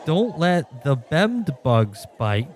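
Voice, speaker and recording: A man playing a smug, self-serious poet with a precious, deliberate delivery in a comic radio play. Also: fairly high pitch, very animated, heavy background noise. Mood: precious reciting.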